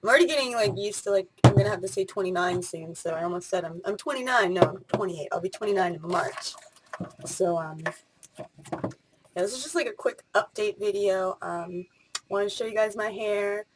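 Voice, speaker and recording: A woman's voice: mid-range voice, moderate pace, background noise. Rambling young woman vlogging. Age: 20s. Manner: rambling, spur-of-the-moment talk with many fillers. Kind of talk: casual rambling vlog.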